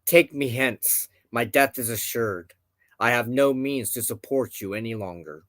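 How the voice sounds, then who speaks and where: A man's voice: medium pitch, measured pace, fairly bright tone, dry recording, lightly acted. A man voicing a dignified, mortally wounded king in a storybook tale, formal and resigned. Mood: solemn. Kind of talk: storybook character dialogue in narration.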